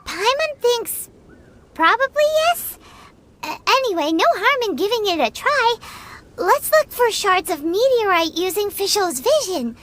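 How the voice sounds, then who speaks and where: A tiny fairy companion from a video game, with an extremely high, childlike voice. The pitch swings in a lively way, and the delivery is chirpy, blunt and full of exclamation. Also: female voice, unhurried, heavily acted. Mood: perky, thoughtful. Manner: speaks of herself in the third person, exclaiming. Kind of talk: video game cutscene acting.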